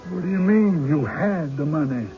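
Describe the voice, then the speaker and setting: A man's voice: a little high, expressive, normal speed, faint music behind, lightly acted. An actor playing a decent, folksy country man with neighbourly talk in an old-time radio drama. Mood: disappointed, accusing. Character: George, decent, easygoing country man owed money. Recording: noisy, dull lo-fi radio recording.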